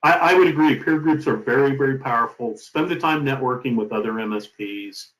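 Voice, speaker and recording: A middle-aged man being interviewed as a company founder, giving advice in an emphatic, deliberate conversational way. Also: mid-range voice, a bit bright. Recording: roomy, echoing sound.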